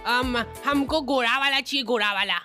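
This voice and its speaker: A man's voice: very high-pitched, moderate pace, bright, forceful tone, soft background music, full character voice. A man voicing a naive, earnest teenage runaway groom in a comedy cartoon. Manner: earnest, childishly clueless. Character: naive underage runaway groom seeking to buy a baby.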